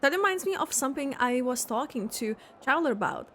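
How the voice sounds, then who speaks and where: A woman voicing an earnest young sports organiser in a video game, brisk, practical and friendly. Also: sits high, lively intonation, a bit bright, a little hiss or hum. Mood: upbeat. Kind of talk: video game character acting.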